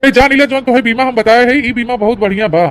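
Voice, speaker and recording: A high-voiced cartoon insurance agent , a man acted by a woman, speaks with an Awadhi accent. He explains patiently and persuasively, in the homely, colloquial patter of a village salesman. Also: fairly quick, lightly acted. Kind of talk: Awadhi family comedy cartoon.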